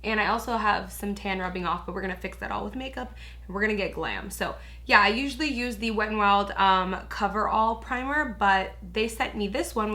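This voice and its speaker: A young woman chatting through a makeup tutorial with a raspy, hoarse voice, gushing and peppering her talk with filler words. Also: mid-range voice, pushed and bright. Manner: gushing, chatty, lots of "like" and "you guys". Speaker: beauty vlogger. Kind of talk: casual makeup tutorial chat.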